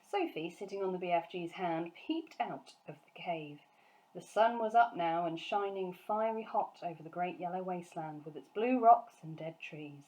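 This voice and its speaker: A woman reading a children's storybook aloud in an expressive narrating style. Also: on the low side, moderate pace, rough recording. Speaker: story reader.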